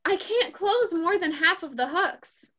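Very high, bright girlish young friend, played by a woman. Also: lively intonation, a little slow, exaggerated voice. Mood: innocent, tactless. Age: young adult. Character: sweet, tactless young girl friend of the wife. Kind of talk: theatrical stage comedy acting. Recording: dull, lo-fi video-call sound.

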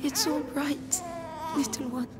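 Game heroine, anguished young mother: high, halting, tender voice beneath loud music. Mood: tearful, anguished. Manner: emotional, halting, tender phrasing. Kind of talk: dramatic video game voice acting.

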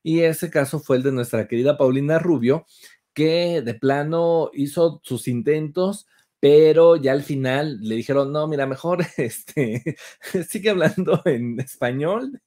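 A young man with a Mexican accent, co-hosting a gossip chat over a video call from a room at home, animated and mocking as he laughs. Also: fairly high pitch, a little slow. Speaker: co-host.

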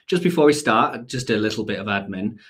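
Croaky man with a cold presenting. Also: on the low side, animated, fairly quick, fairly bright tone. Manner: conversational, frequent fillers and self-corrections. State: has a cold, voice a bit croaky. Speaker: company CEO presenting a technical talk. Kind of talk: live-streamed technical presentation. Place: home study with bookshelves, indoors.

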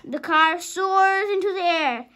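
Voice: very high voice, takes it slowly, sharp tone. A young child of about five reading a storybook aloud dramatically, sometimes stumbling over words and rereading them.

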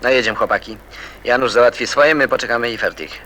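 An actor playing a mocking, domineering young street bully in a radio drama, with a sneering, slangy way of talking. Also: male voice, medium pitch, fast talker, bright, forceful tone, a little hiss or hum, close to an everyday voice. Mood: scornful.

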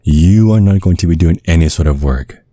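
A man playing a tender, protective vampire boyfriend in an ASMR roleplay, speaking in a soothing, coaxing, affectionate way with a husky voice. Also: deep voice, moderate pace, warm tone, no echo. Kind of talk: ASMR roleplay, intimate soft talk.

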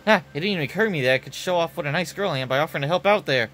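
A man's voice: fairly high pitch, animated, very fast, a bit bright, faint music behind. A man voicing a shy, gloomy young shut-in princess in a game, self-pitying and muttering asides. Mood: envious.

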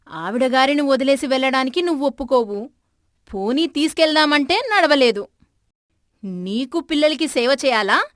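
Aggrieved young village wife, acted by a woman: high-pitched, emotional, plaintive, cartoon family drama, dry sound. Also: fairly bright tone, no room sound. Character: aggrieved young village daughter-in-law and mother. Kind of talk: animated moral story acting.

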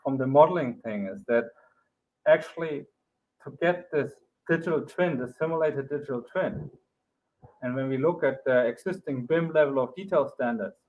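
A middle-aged man giving a conference keynote from home over a video call, loose and conversational, often checking in with "right?" and filler "um"s. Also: mid-range voice. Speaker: keynote speaker. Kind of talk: academic conference talk. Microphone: webcam or laptop mic on a video call. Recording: phone-like narrow band.

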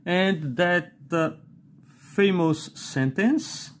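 Middle-aged Brazilian man, non-native English, unhurried desk product review, halting delivery, faint noise behind. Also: high voice. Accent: Brazilian Portuguese. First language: not English. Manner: slow and halting, with many "uh"s and a questioning "okay?". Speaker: fountain pen reviewer. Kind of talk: unscripted product review while writing. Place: indoors at a desk.

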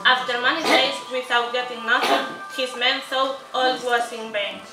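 A woman's voice: on the high side, fairly even intonation, normal speed. A young woman reading scripted narration aloud for a puppet show in a room. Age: teenager or young adult. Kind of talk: scripted story narration, read from paper. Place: indoor room beside a puppet theatre. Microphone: far camera. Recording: echoey room, far camera, music behind.

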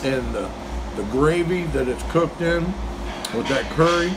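A man's voice: a little high, a little slow, background noise. A man, chatting casually as he reviews diner food while eating. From Kentucky, US. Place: small diner, indoors. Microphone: phone camera across the table.

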